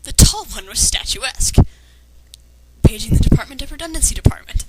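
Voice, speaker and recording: A woman's voice: sits high, lively intonation, fairly quick, heavy background noise. A woman reading fan fiction aloud with sarcastic, critical commentary. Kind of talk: dramatic reading with mocking commentary.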